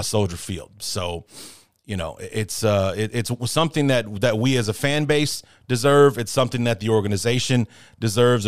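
A man's voice: lowish voice, smooth, dry recording, studio quality. A man hosting a sports podcast, blunt and opinionated, swearing freely as he talks. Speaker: podcast host. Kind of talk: casual sports podcast talk.

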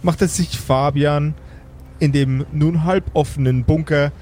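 A man's voice: a little high, steady tone, unhurried, warm tone, a little hiss or hum. A man narrating an improvised tabletop game as game master and host. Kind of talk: live improvised tabletop narration and casual hosting.

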